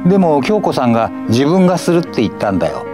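A man's voice: on the high side, sing-song, quick pace, over music, voice pushed up. Elderly man voicing a blunt, scolding woman. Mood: grudging, scolding. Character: blunt, scolding female relative of an injured man.

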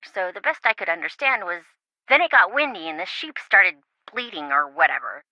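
A woman voicing a quirky, sarcastic, excitable young reality-show contestant in a video game, with playful theatrical quips. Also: lowish voice, a little fast, no room sound, lo-fi sound, exaggerated voice. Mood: wry, chatty.

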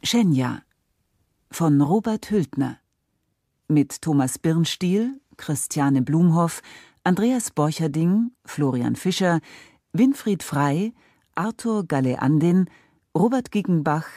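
Low, mellow female radio announcer. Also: lively intonation, takes it slowly, no echo, clean studio sound. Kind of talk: scripted radio credits announcement.

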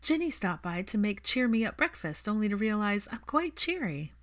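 A woman voicing a dry, guarded but quietly happy young woman with clipped, wry replies in an audiobook. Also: mid-range voice, big pitch swings, moderate pace, voice pushed up, natural voice. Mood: content.

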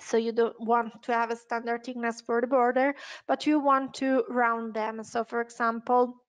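High-voiced Italian woman giving a software tutorial. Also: flat delivery, moderate pace, clean tone. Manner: hesitant, unscripted explaining with self-corrections. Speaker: webinar presenter, software trainer.

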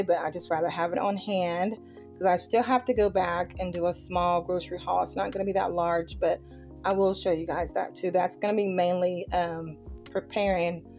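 Low-voiced woman chatting through a grocery haul. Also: fairly even intonation, moderate pace, darkish tone. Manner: informal, colloquial grammar, itemizing. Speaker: vlogger showing a grocery haul. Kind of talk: casual chat to viewers, product show-and-tell. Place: home kitchen. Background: music.

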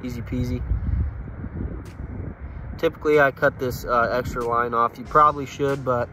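A man's voice: mid-range voice, steady tone, moderate pace, sharp tone, a little hiss or hum, poor audio. An American man chatting casually to viewers in a folksy, plain-spoken way as he presents an outdoor fishing vlog. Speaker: fishing vlogger. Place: outdoors by a river.

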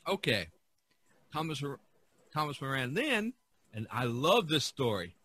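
Bright-voiced, enthusiastic man chatting. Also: medium pitch, measured pace, slightly rough. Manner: enthusiastic, animated storytelling. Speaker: podcast host. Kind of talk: casual art history discussion.

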